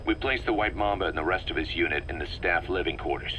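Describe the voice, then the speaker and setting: An actor playing a commanding, pragmatic military operative, giving a serious, matter-of-fact briefing in a video game audio log. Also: male voice, low-pitched, quick pace, close to an everyday voice. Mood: firm, instructive. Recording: faint noise, cassette-tape radio ambience.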